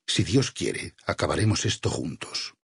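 An actor playing a stern, vengeful religious war leader in a Spanish video game dub, with a Castilian accent, a husky rasp and solemn, preacherly phrasing. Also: male voice, low-pitched, expressive, unhurried, dry recording, clean studio sound, heavily acted. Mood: grim, resolute. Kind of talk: dubbed video game voice acting.

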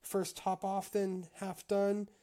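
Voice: mid-range voice, expressive, normal speed, a little mellow, voice pushed up, lightly acted. A reader voicing a small, trusting female mouse in a storybook tale, earnest, questioning and given to exclaiming. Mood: dismayed. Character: small, good-natured, trusting female mouse, easily troubled.

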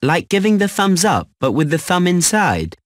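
Curious young friend, low pitch, lively, dry. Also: female voice, normal speed, dry recording. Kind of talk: scripted textbook dialogue.

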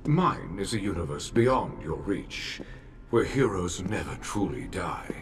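A sinister demon lord with a low, sweeping, theatrical voice. He speaks in slow, grandiose persuasion over a swelling game soundtrack. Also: very animated, soft background music. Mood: grandiose, persuasive. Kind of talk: video game cutscene acting.

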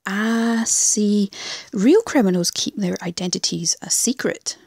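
A woman's voice: medium pitch, unhurried, vocal fry, darkish tone, breathy edge, no room sound, clean studio sound. A woman playing a cocky, menacing rogue in an audio drama, taunting and self-assured. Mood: cool menace. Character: cocky, mocking, menacing female rogue troublemaker. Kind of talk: fan audio drama acting.

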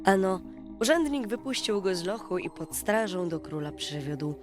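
A woman narrating a scripted fairy tale for children at bedtime, in an expressive storytelling manner. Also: mid-range voice, moderate pace. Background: music.

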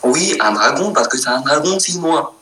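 A man's voice: fairly high pitch, lively intonation. Echoey young man making a prank call. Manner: mock foreign accent, halting. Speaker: prank caller. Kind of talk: prank phone call in put-on broken speech. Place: living room at home, indoors. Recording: echoey, noisy home recording, off mic.

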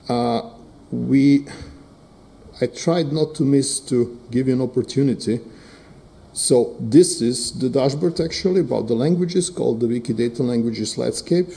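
Middle-aged non-native man, dark gravelly voice, conference presenter at lectern, peppers talk with "right?" and "uh". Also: medium pitch. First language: Serbo-Croatian. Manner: frequent fillers and "right?" tag questions. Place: conference hall stage. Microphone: lectern microphone.

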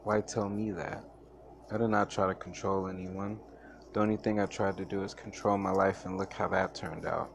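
A man's voice: deep voice, flat delivery, normal speed, slight background noise. A man voicing an anguished, bewildered character in a story, questioning and pleading. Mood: troubled questioning. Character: anguished, bewildered man lost between life and death.

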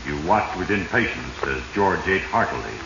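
Sinister male Fate: ominous, deliberate radio narrator, low register, reverberant vintage sound. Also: animated, highs cut off. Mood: menacing. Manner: ominous, deliberate, addresses his victim directly. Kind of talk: 1940s radio drama narration. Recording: echoey, noisy 1940s radio recording.

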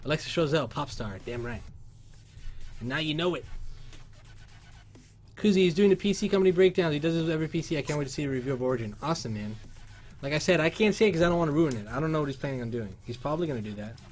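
A man's voice: a little high, lively intonation, rapid-fire, faint music behind. A man in his thirties from Cuba, hosting a casual livestream and reading chat questions aloud through a headset. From Cuban. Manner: upbeat, reads chat aloud, sings along. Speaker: livestream host. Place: workshop studio indoors.

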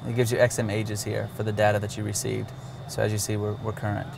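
A man's voice: lowish voice, completely flat delivery, fast talker, creaky, background noise. A man casually demonstrating a cockpit navigation system step by step, with occasional fillers. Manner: walks through steps plainly, with fillers. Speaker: avionics demonstrator.

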